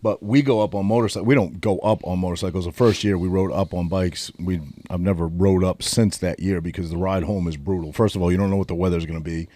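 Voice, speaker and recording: A man's voice: low voice, steady tone, fast talker, few pauses, mellow. A man, co-hosting a podcast and telling a rambling road-trip story with plenty of swearing. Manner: rambling, profane, storytelling with filler words. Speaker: podcast co-host. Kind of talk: casual storytelling chat.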